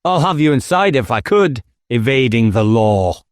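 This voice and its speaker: Police inspector, audiobook male reader in role: dogged, blunt, ruthless, interrogating, voice pushed higher. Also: big pitch swings, normal speed, dry recording, studio quality, close to an everyday voice. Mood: demanding. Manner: insistent, interrogating. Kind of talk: audiobook character acting.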